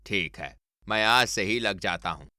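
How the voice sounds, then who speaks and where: Man playing a low-voiced stern village headmaster. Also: a little slow, pushed and bright, no echo, clean studio sound. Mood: calm, helpful. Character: gruff, authoritative village headmaster, older man.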